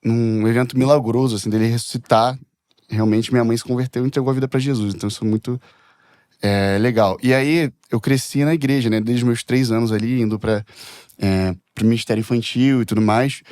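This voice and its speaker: A young man from Rio de Janeiro with a Brazilian accent, a podcast guest talking in a reserved, soft-spoken way. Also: medium pitch, steady tone, brisk, dry recording, clean studio sound. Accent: Brazilian, Rio de Janeiro with Espírito Santo touches. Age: young adult. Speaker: guest, worship musician. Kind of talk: casual chat.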